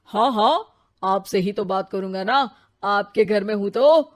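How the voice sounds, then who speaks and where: A woman's voice: medium pitch, a little slow, close to an everyday voice. A woman voicing a cheeky male newsreader who lives inside a magic television, chatty and self-important, in a children's story.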